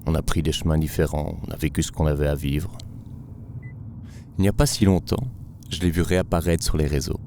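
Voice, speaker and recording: A man from Belgium narrating a documentary in a slow, measured, reflective voice-over. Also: very deep voice, fairly even intonation, dark tone, slight background noise.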